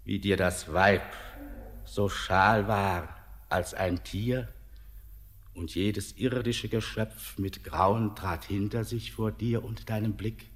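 Torbern, a slow, sardonic old tempter. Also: male voice, on the low side, fairly even intonation. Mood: mocking. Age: older. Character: ancient eerie male miner, mocking tempter. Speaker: old mountain spirit-miner Torbern. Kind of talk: classic radio play acting. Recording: long reverb with music behind.

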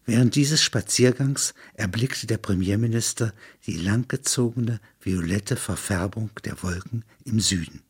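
A man reading scripted literary narration in a husky voice. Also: lowish voice, unhurried, hardly any pauses.

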